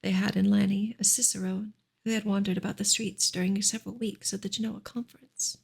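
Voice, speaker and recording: Woman, ASMR book reading, very breathy, heavy vocal fry, soft and half-whispered, gentle pacing. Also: medium pitch, flat delivery, a little fast. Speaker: ASMR reader and streamer.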